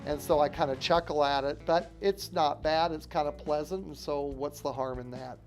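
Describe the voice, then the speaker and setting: A man in his sixties with a fairly high voice talks informally, as a long-serving school staffer looking back. Guitar music is clearly audible behind him. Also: fairly even intonation, normal speed. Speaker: veteran school staff member. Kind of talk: reminiscing interview talk.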